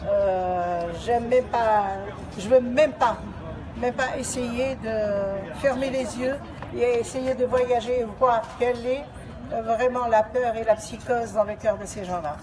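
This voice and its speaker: A woman's voice: fairly high pitch, measured pace. Impassioned woman speaking at a street protest. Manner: impassioned, repetitive, with fillers. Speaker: protest organizer. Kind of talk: impromptu protest speech. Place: outdoors on a city square at a protest. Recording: street protest with a crowd around.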